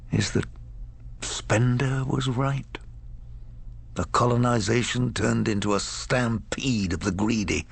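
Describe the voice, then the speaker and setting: A man's voice: lowish voice, vocal fry, slightly rough, exaggerated voice. An actor playing a world-weary former space captain in a radio drama, confiding his regrets in a heavy, reflective way.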